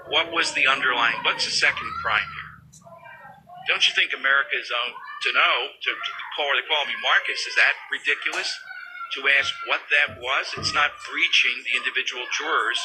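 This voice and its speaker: A man's voice: medium pitch, normal speed, background noise. A man hosting talk radio, giving opinionated commentary in an emphatic, conversational way with rhetorical questions. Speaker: fill-in radio talk show host. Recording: talk radio broadcast with music behind.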